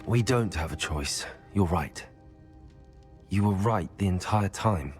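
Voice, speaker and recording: A man playing an earnest, polite young student wizard in a video game, speaking in a measured, formal way. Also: sits low, lively intonation, soft background music. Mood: resolute, grave. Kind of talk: scripted video game dialogue.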